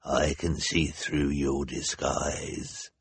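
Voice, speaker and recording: Very deep, gravelly, sinister henchman. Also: little pitch movement, no echo, full character voice. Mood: knowing, sly. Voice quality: gravelly, husky. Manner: slow, drawling menace. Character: sinister hook-handed henchman, sly, sneering villain.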